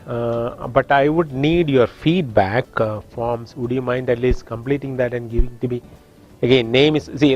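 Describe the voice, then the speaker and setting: Man, Indian accent, course instructor, halting explanations, muffled recording, faint music behind. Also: medium pitch, darkish tone. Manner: explanatory, with frequent uh fillers and restarts. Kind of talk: classroom lecture. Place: classroom.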